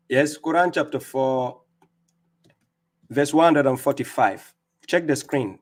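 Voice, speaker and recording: A man's voice: medium pitch, darkish tone. A man hosting a religious livestream, arguing in a mocking, taunting way and stumbling over repeated words. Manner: mocking, taunting, laughs at opponents. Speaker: livestream host. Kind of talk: combative religious livestream rebuttal. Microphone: streaming setup.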